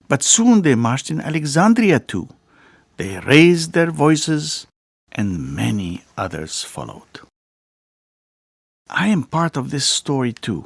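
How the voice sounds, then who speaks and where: A man reading a picture book aloud as a storyteller, with deliberate phrasing, frequent pauses and emphatic swells. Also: medium pitch, no room sound, clean studio sound. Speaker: read-aloud narrator.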